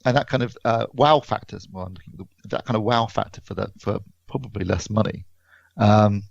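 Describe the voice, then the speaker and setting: British male podcast panelist, creaky voice, loose rambling chat, dry slightly dull sound. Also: lowish voice, brisk, no room sound. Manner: rambling, conversational. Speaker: podcast reviewer. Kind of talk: casual podcast discussion.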